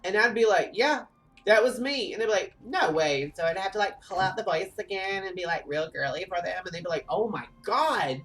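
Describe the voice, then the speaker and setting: A man in his thirties with a light, high voice, telling stories in animated, chatty gameplay talk. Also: brisk. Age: 30s. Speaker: streamer. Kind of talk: casual gameplay chat. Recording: voice a bit off-mic with faint game music.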